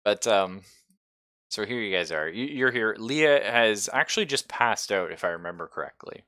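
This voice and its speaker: A man's voice: lowish voice, lively intonation, moderate pace, sharp tone, no echo. A man improvising narration as game master of a tabletop roleplaying game, conversational and playful, on a close mic. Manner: conversational, playful, swears casually.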